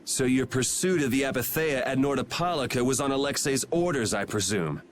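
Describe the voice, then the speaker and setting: A man's voice: mid-range voice, animated, a little fast, a little hiss or hum, lightly acted. An actor playing a brash, cynical young swordsman with a blunt, sarcastic manner in a video game. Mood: accusing. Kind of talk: English video game voice acting.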